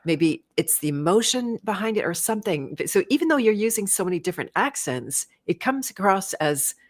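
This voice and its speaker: A woman's voice: medium pitch, expressive, brisk. A woman hosting a casual interview over a video call, affirming her guest and restarting her sentences often. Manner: warm, affirming, trailing restarts. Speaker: podcast host.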